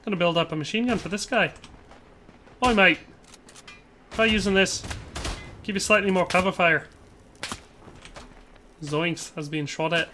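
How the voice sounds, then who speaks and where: A jokey male game streamer chatting through live gameplay and reading out chat. His voice is high and bright, with a faint hiss underneath. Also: normal speed, pauses often. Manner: jokey, chatty, laughs often.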